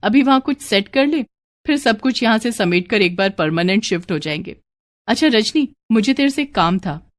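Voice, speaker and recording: A woman's voice: on the high side, animated, moderate pace, close to an everyday voice. A woman voicing a chatty, scheming young married friend in a cartoon moral story.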